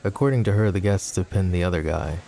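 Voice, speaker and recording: A man playing a suave, possessive mafia boss in an intimate ASMR roleplay, with a smooth, teasing, mock-polite manner. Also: low voice, quick pace, mellow. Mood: calm, composed.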